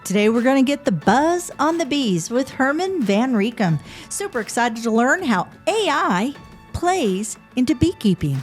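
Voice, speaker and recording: A woman's voice: high voice, very animated, measured pace, faint music behind. A woman with a Canadian accent hosting a podcast, interviewing in a chatty, informal way. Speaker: podcast host.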